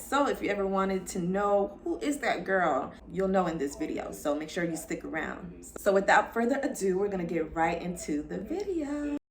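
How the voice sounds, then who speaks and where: A young woman from Florida, a lifestyle vlogger, chatting casually and upbeat to her viewers as she introduces herself. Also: mid-range voice. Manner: chatty, upbeat, addresses viewers as y'all and girl.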